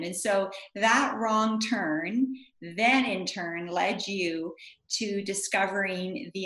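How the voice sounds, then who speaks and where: A woman's voice: medium pitch, a bit bright. Encouraging woman interviewer in a roomy space. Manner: encouraging, affirming. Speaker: interviewer, host. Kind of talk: faith interview.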